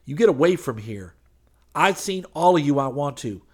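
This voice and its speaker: A man's voice: medium pitch, measured pace, natural voice. A man voicing a stubborn, irritable country man in an audiobook, speaking curtly and emphatically. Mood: stubborn, irritated. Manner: blunt, ungrammatical, emphatic.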